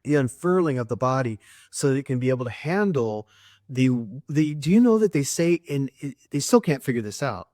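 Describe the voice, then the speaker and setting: A man's voice: medium pitch, sing-song, brisk, darkish tone, dry recording, clean studio sound. A man co-hosting a spiritual talk show, speaking earnestly in a preachy way. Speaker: co-host.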